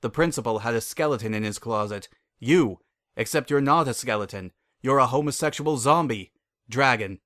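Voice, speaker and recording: A man's voice: medium pitch, normal speed, a bit bright, dry recording, clean studio sound, lightly acted. A man voicing a cold, accusing young male pony in a melodramatic storybook reading. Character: cold, scornful young male pony confronting his father.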